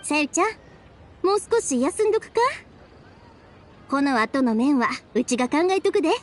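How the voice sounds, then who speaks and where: Game role: considerate young woman, Kansai accent, lively intonation, fast high delivery. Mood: friendly, caring. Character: cheerful, caring young woman, friendly and considerate. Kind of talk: Japanese video game voice acting. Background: game music.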